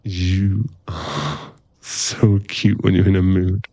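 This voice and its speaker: Male actor, playful werewolf boyfriend, ASMR roleplay, casual laddish teasing, lowish voice, unhurried, dry sound. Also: mellow, no echo, full character voice. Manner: casual, laddish, teasing, drops into murmured asides.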